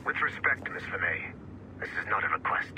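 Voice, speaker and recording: Low-voiced, commanding corporate boss. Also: male voice, very animated, brisk, crowd noise, low-quality recording. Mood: cold, commanding. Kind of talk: video game acting.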